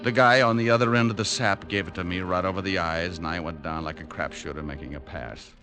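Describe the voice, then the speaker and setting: A man's voice: low voice, steady tone, fast, natural voice. A man playing a hard-boiled private detective on an old radio show, narrating with dry, sardonic wisecracks. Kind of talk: 1940s radio acting with narration. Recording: old radio broadcast with music.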